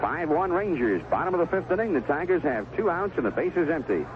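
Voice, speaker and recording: Through a thin, noisy old AM broadcast comes an American man calling a baseball game. His voice sits fairly high and rises and falls with lively intonation. He delivers each pitch in short, clipped phrases, leaving easy pauses between them. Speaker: baseball play-by-play radio announcer. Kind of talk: live baseball radio commentary. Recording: tinny, noisy 1970s AM radio broadcast.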